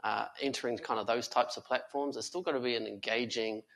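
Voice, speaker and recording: A man's voice: mid-range voice, fairly even intonation, a little fast, creaky, a bit bright. A man speaking as a guest on a conference panel, earnest and full of fillers and restarts. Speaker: panel guest, tech company founder. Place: conference stage before an audience.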